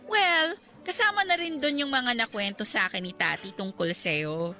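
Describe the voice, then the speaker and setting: An actress playing a candid, cautious young schoolteacher being courted in a radio drama, chatting in a Filipino accent and mixing in English. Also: female voice, a little high, moderate pace, bright, forceful tone, soft background music. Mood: pleased, teasing. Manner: conversational, mixing Tagalog and English.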